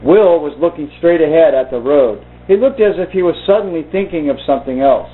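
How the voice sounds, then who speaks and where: A man reading aloud and commenting informally in a halting, deliberate way. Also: a little high, animated. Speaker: video host reading from a book. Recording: muffled phone-like narrow-band sound.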